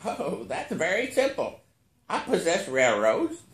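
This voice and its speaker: A man voicing a wizened old billionaire in a story, slow and deliberate, with naive, smug, matter-of-fact answers. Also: high voice, wildly swooping pitch, gravelly, reverberant, pitched above the performer's own voice, exaggerated voice. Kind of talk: storybook character voice in a short story reading.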